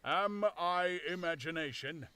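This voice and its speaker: A man voicing an invisible man who speaks slowly and deliberately, pressing each point. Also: a little high, sharp tone, clean tone, pitched above the performer's own voice. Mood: insistent. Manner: slow, deliberate, emphatic. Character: calm, insistent invisible man, measured.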